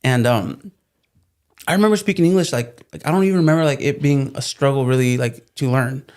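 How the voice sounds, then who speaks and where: A man's voice: mid-range voice, a little fast, a little mellow. A man from California, a native Spanish speaker, telling stories in a casual interview, easygoing and joking. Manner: easygoing storyteller, joking and laughing. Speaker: plumbing business co-founder, guest.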